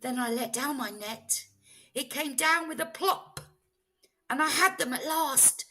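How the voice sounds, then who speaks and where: A woman reading a children's storybook aloud as a teacher, with expressive, dramatic phrasing. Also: high voice, a little slow. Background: music.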